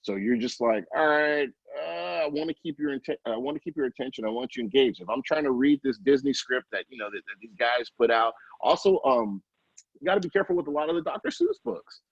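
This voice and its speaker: A middle-aged man giving casual interview answers as an early childhood educator, halting and often interrupting himself with informal asides. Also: mid-range voice, lively intonation, gravelly, dry recording, highs cut off.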